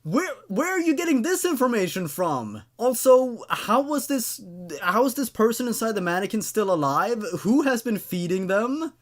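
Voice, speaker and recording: A man hosting a horror story show, giving expressive, opinionated but apologetic commentary and often stammering and repeating words. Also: very high voice, normal speed. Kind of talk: reading and reviewing amateur horror stories.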